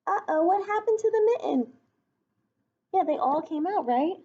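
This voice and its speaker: A woman's voice: very high voice, animated, normal speed, dark tone, muffled recording. A woman reading a picture book aloud to young children, speaking expressively and putting questions to her listeners.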